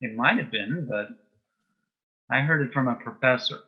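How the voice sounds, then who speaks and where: A man speaking as an interview guest in casual chat, telling jokes and anecdotes in a dry, measured way. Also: medium pitch, husky, lo-fi sound.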